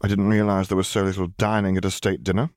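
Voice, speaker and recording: A man's voice: sits low, flat delivery, fast talker, darkish tone, dry recording, clean studio sound, close to an everyday voice. A man voicing a polite, reserved imperial admiral with a formal manner in an audiobook. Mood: courteous. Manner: formal, courteous.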